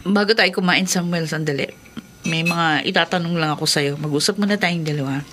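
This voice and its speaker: An actress playing a skeptical, exasperated wife in a horror radio drama, emphatic and impatient. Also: female voice, lowish voice, a little fast, noisy background, close to an everyday voice. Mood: worried.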